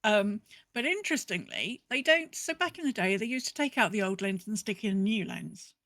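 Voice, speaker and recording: A woman's voice: medium pitch, animated, fairly quick, fairly bright tone, dry recording. A British woman hosting a podcast, chatting casually and telling anecdotes. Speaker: podcast host.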